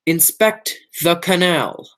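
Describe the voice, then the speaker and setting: A woman's voice: fairly low pitch, takes it slowly, a bit bright. A young woman in an amateur radio play, playing a sinister, domineering criminal man with a commanding, insinuating manner. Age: young adult.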